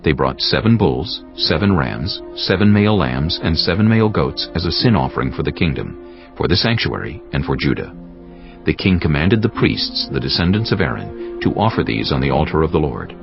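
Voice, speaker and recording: An extremely low male voice with a gravelly creak narrates dramatized scripture. His delivery is solemn and measured, yet moves widely in pitch. Music plays clearly beneath the slightly muffled recording. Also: big pitch swings.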